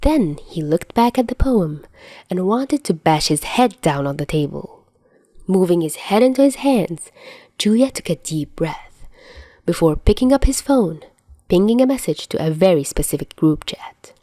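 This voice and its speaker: Woman reading fanfic out loud, relaxed dark tone, dry close recording. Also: fairly low pitch, normal speed, dry recording. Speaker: podfic reader and host. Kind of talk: scripted story narration.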